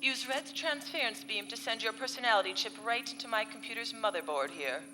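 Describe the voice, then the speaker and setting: A woman's voice: fairly high pitch, brisk, noisy background, lightly acted. A woman playing a scheming, haughty space villainess in a live comedic radio serial, theatrical and biting. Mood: smooth persuasion. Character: scheming female space villainess, haughty, exasperated arch-nemesis. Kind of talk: live comedic sci-fi radio play in 1940s serial style. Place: convention hall stage before live audience. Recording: reverberant live hall, faint music.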